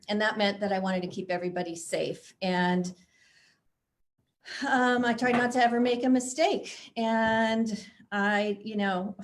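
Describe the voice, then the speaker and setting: A woman's voice: mid-range voice, a little hiss or hum. A middle-aged woman from Chicago, an author being interviewed, chatting with frequent self-deprecating laughs and reading from her book. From Chicago, USA. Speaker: author guest.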